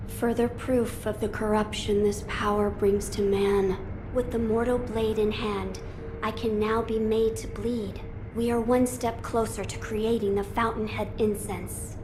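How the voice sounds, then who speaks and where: A woman's voice: medium pitch, flat delivery, unhurried, lightly acted. A woman voicing an earnest, noble young boy lord in a game cutscene, formal and thoughtful in delivery. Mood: grave. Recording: clear ambient noise behind dialogue.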